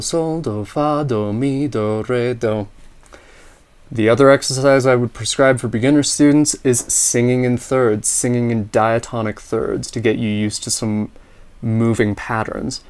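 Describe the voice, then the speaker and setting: A man with a slightly raspy yet resonant voice teaches ear training. He talks through exercises in a relaxed, practical manner and breaks into sung solfege drills. Faint music sits low behind him. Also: measured pace. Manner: sings scales and intervals in solfege between explanations.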